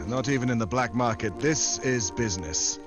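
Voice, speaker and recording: An actor playing a suave, unflappable secret agent in a 1940s-style radio drama, with a smooth, wry, flirtatious manner. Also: male voice, medium pitch, expressive, moderate pace, music in the background, close to an everyday voice. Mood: dry.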